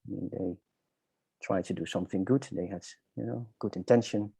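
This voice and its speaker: A middle-aged man from the Netherlands with a Dutch accent, telling his personal story reflectively and haltingly in conversation, searching for words. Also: sits low, creaky, dark tone, slightly rough, no echo. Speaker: interview guest.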